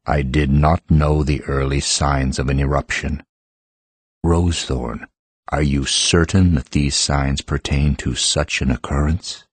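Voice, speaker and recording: An actor playing an ancient living stone creature, solemn, formal and ponderous, in an audiobook drama. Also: male voice, a bass voice, fairly even intonation, a little slow, breathy edge, dry recording, exaggerated voice.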